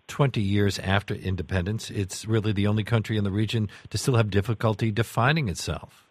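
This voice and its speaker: A man's voice: on the low side, steady tone, brisk, no echo. A man hosting a radio interview, putting questions and follow-up remarks in an inquisitive, conversational way.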